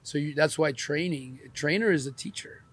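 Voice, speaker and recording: A man's voice: fairly high pitch, normal speed, slightly rough. A man interviewing a guest, asking probing follow-up questions and reacting with enthusiasm. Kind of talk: casual interview.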